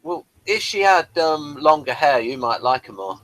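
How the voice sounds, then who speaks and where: A man's voice: fairly high pitch, sing-song, moderate pace, a bit bright, dry recording, rough recording. A man giving casual, explanatory commentary on a TV episode, sharing background details as he goes. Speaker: host of a TV episode review commentary.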